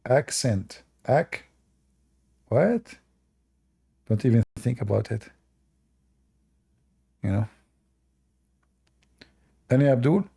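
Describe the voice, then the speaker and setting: A man's voice: mid-range voice, big pitch swings, extremely slow, creaky, long pauses, dark tone, breathy edge. A man hosting a live-stream debate, talking to the chat in a sarcastic, mocking way. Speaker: livestream debate host.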